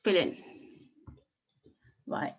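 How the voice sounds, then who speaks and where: Chatty woman with a Lingala background. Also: drawn-out words. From Congo and Angola. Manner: warm, rambling, with many restarts. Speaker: speaker sharing her story with a group. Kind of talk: casual personal talk.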